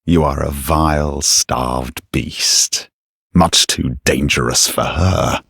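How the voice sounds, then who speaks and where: An actor playing a sly, haughty knight-assassin in a video game, speaking in a silky, deliberate and theatrically formal manner with a gravelly edge. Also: male voice, fairly bright tone, no echo, clean studio sound, exaggerated voice. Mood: scornful, menacing. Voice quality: low, husky, gravelly. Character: sly, menacing, haughty knight-turned-assassin, devoted to his goddess. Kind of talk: video game character dialogue.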